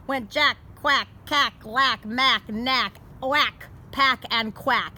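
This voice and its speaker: A woman reading a children's picture book aloud in a deliberate storytime manner, outdoors in a garden. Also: a little high, pushed and bright. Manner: deliberate, showing pictures to listeners. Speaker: storytime reader. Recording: clear outdoor background noise.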